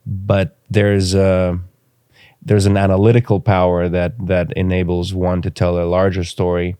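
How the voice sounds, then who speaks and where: Deep smooth voiced guy from romania, native romanian speaker, thoughtful podcast guest, halting, close dry sound. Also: completely flat delivery, dark tone, no echo. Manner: thoughtful, halting, pauses to choose words. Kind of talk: reflective interview conversation.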